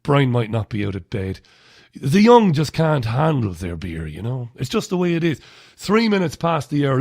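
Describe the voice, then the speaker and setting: A man in his forties hosts a live radio show from a studio, chatty, blunt and sardonic. His voice is gravelly and a little throaty, with plenty of fry. The sound is dry and close, with no room echo. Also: mid-range voice, darkish tone. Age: 40s. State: slightly throaty.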